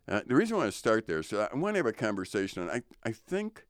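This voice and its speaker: Deep-voiced older man musing on faith. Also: huge pitch swings, fast talker, no room sound, studio quality. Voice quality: low voice. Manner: thoughtful, reflective, preachy. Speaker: co-host. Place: radio studio.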